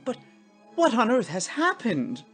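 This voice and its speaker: A woman voicing a fussy, bewildered, stammering gentleman ghost in a storybook reading. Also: fairly high pitch, wildly swooping pitch, a little slow, breathy edge, faint music behind, voice pushed up, full character voice. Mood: bewildered, indignant. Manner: stammering, flustered, prone to exclaim. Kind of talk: theatrical storybook character voice.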